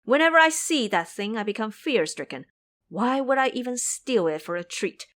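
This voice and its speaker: A woman voicing a gentle, pious male master monk who pleads earnestly. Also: on the high side, very animated, normal speed. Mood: pleading.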